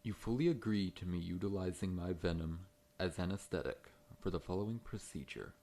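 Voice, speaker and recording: A man playing a large, friendly scorpion monster dentist in an ASMR roleplay, talking in a gentle, reassuring, professional way. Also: low-pitched, a little fast. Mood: calm, professional.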